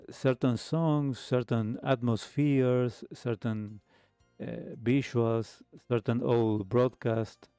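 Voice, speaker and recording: Male talk host, reflective and hesitant, unhurried delivery, dry close sound. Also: mid-range voice, warm tone, no echo. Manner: hesitant, halting phrasing with pauses. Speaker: host of a retro radio talk show. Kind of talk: reflective livestream monologue to listeners. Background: background music.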